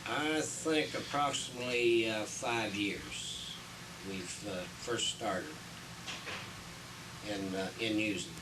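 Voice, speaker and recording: Slow, halting low-voiced man, distant noisy mic. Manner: halting, hesitant, pausing often mid-phrase. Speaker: city public works official being interviewed. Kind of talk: unscripted explanatory interview answers. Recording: distant mic, noisy 1980s video soundtrack.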